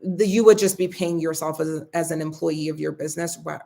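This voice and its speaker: A young woman hosting a casual live Q&A, chatting in a friendly way as she reads viewer comments aloud and answers them, her voice getting a bit raspy from long talking. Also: low-pitched, fairly even intonation, a little fast, mellow. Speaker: host answering viewer questions.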